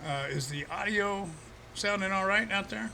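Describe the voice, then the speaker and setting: A man's voice: high voice, normal speed, sharp tone, slight background noise. Easygoing streamer man with a froggy throat. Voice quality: froggy, slightly hoarse throat. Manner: easygoing, chuckling, talks to chat by name. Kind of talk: casual live-stream chat while playing a farming game.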